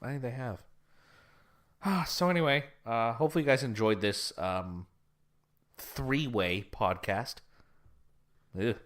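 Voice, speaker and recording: A man's voice: medium pitch, unhurried, pauses often, a bit bright. A young man co-hosting a casual podcast, rambling with restarts and frequent laughs. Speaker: podcast co-host.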